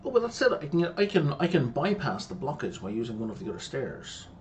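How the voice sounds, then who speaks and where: A man's voice: medium pitch, wildly swooping pitch, a little fast, a little mellow, a little hiss or hum. A man casually thinking aloud while playing a mystery game, muttering to himself and explaining history as he goes. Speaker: gameplay streamer. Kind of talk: casual let's-play commentary. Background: game music.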